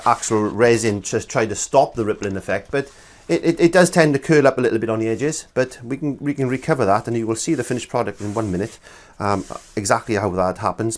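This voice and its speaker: A middle-aged man teaching an art lesson in his small studio, sounding congested from a cold and talking in a chatty, rambling way with many restarts. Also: lowish voice. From Wales. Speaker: art instructor. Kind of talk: casual demonstration lesson.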